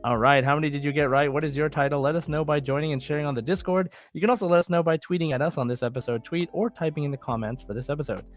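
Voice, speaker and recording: A man's voice: on the high side, very fast, few pauses. Casual male podcast host over music.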